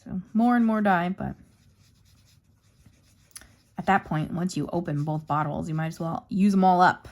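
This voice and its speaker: A young woman chatting casually as she colors in a craft vlog, her voice going a bit hoarse. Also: fairly low pitch, normal speed, pauses often, a little mellow. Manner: rambling, chatty, narrates while coloring. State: losing her voice. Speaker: craft YouTuber.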